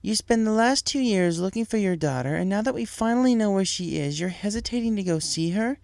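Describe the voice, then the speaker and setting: A woman's voice: medium pitch, animated, brisk, creaky, darkish tone, dry recording. A woman playing an impatient wife and mother pony, speaking pointedly in a dramatic story reading. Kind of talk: dramatic fan fiction audio reading.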